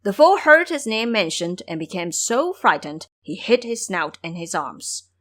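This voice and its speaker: Casting: female narrator for a fantasy tale, mid-pitched and highly expressive, scripted storytelling delivery. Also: unhurried. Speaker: narrator of an audio drama series.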